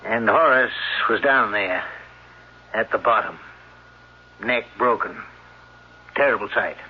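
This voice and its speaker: An actor playing a shrewd townsman telling a grim tale in a deliberate, suspenseful old-time radio style. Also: lowish voice, slightly rough. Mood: explaining, grave. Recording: dull old radio recording.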